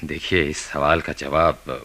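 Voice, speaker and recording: A man acting a grave, reserved doctor in a radio play, husky-voiced, measured and courteous. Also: rumbling low, little pitch movement, pushed and bright, full character voice. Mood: guarded, courteous. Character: grave, guarded male doctor recounting a case. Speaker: doctor and narrator character.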